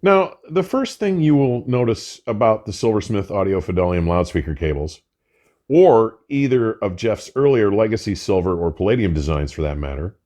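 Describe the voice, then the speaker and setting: An older man presenting a scripted product review in a measured, emphatic way with formal wording. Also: fairly low pitch, dark tone. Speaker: audio equipment reviewer and host.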